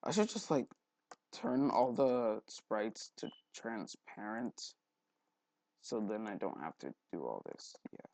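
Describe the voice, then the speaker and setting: A young man giving a casual software tutorial, thinking aloud as he rambles along. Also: on the low side, sing-song, slow pace, no echo, low-quality recording. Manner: rambling, thinking aloud, frequent throat clearing. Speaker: tutorial host. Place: indoors at a computer, voice-over for screen recording.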